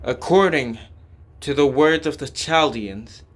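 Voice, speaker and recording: A man reading a game script aloud dramatically, with slow, deliberate, halting delivery. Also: a little high. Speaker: fan voice-over reader.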